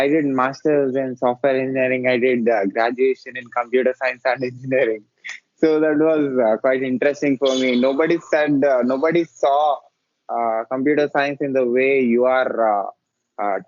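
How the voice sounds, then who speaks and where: A man hosting a casual interview podcast, talking hesitantly with many filler sounds and restarts. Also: mid-range voice. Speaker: podcast host. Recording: dull dry lo-fi audio.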